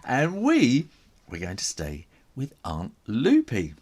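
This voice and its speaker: Animated, husky male storyteller, slow and theatrical. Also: mid-range voice. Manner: theatrical, dramatic pauses, whispery emphasis. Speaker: children's author reading his own book aloud.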